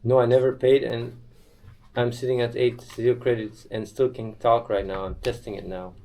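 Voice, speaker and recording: A man's voice: mid-range voice, flat delivery, normal speed, dark tone, low-quality recording. A man hosting a casual live stream, talking bluntly and irritably with plenty of swearing. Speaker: livestream host.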